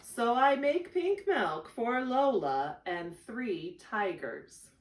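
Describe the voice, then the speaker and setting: A woman reading a children's picture book aloud in an expressive storytelling style. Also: fairly high pitch, slow. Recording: echoey room, distant microphone.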